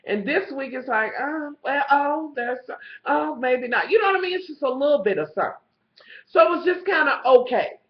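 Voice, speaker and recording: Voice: sits high, lively intonation, normal speed, roomy sound. Stuffed-up, profane recapper. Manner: profane, gossipy storytelling that calls the viewer honey. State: stuffed-up nose. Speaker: TV show reviewer. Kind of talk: casual episode recap vlog. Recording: slightly muffled lo-fi recording.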